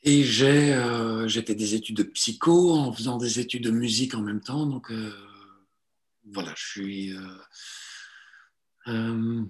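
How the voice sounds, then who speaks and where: Forties male therapist, halting and hesitant, restarts often, mid-range voice. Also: medium pitch. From Italy. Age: 40s. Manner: hesitant, many fillers and restarts. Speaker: guest, psychotherapist and coach. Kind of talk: relaxed conversational interview.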